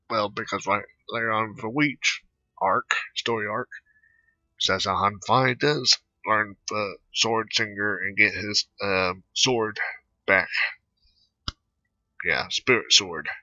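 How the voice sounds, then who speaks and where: A man hosts a gaming playthrough with loose, rambling remarks. His lowish voice is very forceful and edgy, and he halts and repeats phrases. The sound is dry and lo-fi. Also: no room sound. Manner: halting, repeats himself. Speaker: gaming video host. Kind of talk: casual, rambling game playthrough commentary. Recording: dull, lo-fi close dry mic.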